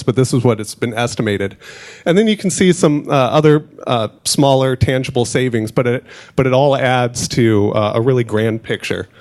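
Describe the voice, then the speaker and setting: A man giving a conference presentation, walking through a project with frequent fillers and restarts. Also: medium pitch. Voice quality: loud voice. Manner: storytelling, many fillers and restarts.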